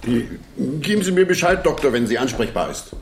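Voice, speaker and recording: An actor playing a calm, wry, knowing man in a radio play, with a gravelly voice. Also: mid-range voice, brisk, a crowd in the background. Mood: calm, reassuring. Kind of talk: 1970s German radio play dialogue.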